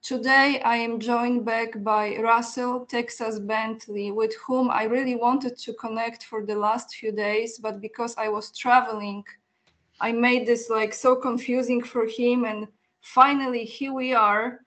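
A woman's voice: fairly high pitch, little pitch movement, unhurried, fairly bright tone. Earnest woman hosting a video call interview. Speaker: host.

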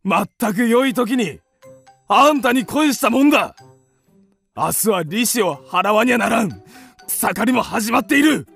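A man's voice: very high voice, expressive, unhurried, creaky, a bit bright, husky, faint music behind, exaggerated voice. A man playing a blustering, hot-headed creditor given to impulsive declarations in a theatrical radio drama. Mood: exasperated.

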